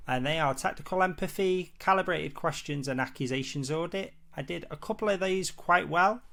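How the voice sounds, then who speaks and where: A man's voice: mid-range voice, vocal fry, a bit bright. A man presenting a roleplay training demo, talking casually and explanatorily with a conciliatory, somewhat hesitant way of phrasing things.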